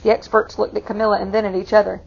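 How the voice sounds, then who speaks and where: A woman's voice: mid-range voice, little pitch movement, racing. A woman reading a storybook aloud to children, expressively, with engaging asides to her young listeners. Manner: engaging, asks listeners questions. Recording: muffled, clearly noisy room recording.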